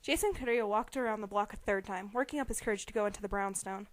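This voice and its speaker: A woman's voice: mid-range voice, fast talker, no echo. A young woman giving an amateur dramatic reading of a novel, prone to giggling and stumbling over words. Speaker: amateur reader.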